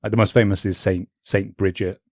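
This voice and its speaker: A man's voice: on the low side, flat delivery, fairly quick, a little mellow, dry recording, clean studio sound. A man co-hosting a podcast, thinking aloud in loose, hesitant chat. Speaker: podcast co-host. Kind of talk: casual chat.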